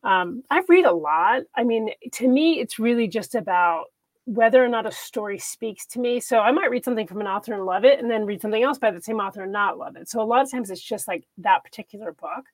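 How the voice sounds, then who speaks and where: A woman's voice: fairly high pitch, a little fast, no room sound. A woman author being interviewed, speaking thoughtfully and earnestly in casual conversation. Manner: thoughtful, earnest, laughs easily. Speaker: author guest.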